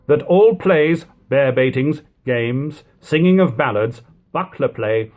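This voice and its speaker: A man reading an old book aloud in a measured, formal manner, in a lo-fi home recording. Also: medium pitch. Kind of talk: audiobook reading of an old text with brief informal asides.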